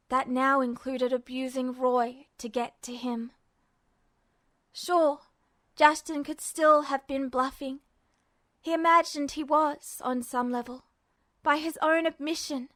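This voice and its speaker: A woman's voice: high-pitched, a bit bright, dry recording. A woman narrator reading fiction in a dramatic audiobook style.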